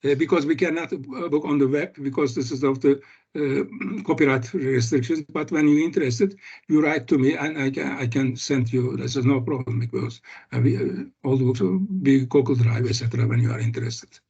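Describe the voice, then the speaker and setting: An older man giving an academic seminar on a video call, speaking haltingly with many fillers and restarts. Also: medium pitch, creaky, darkish tone, breathy edge. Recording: muffled video call audio.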